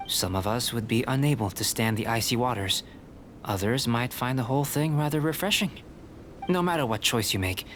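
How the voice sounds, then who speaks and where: A man playing a calm, cerebral young alchemist in a video game, speaking in a measured, articulate, scholarly way. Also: mid-range voice, a little hiss or hum, natural voice.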